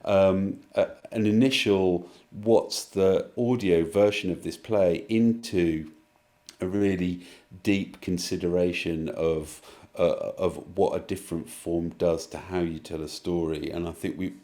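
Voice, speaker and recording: A man's voice: low voice, steady tone, measured pace, mellow. A man giving a reflective interview answer, thoughtful and hesitant as he circles through rephrasings.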